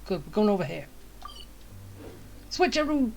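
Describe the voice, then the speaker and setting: A woman's voice: mid-range voice, sing-song, measured pace, gravelly, dark tone, a little hiss or hum. A woman game streamer giving casual commentary as she plays.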